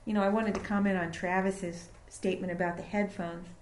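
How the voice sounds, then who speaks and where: A woman recording engineer talking casually in an interview about her studio, explaining with fillers and pauses. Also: mid-range voice, flat delivery. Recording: echoey room.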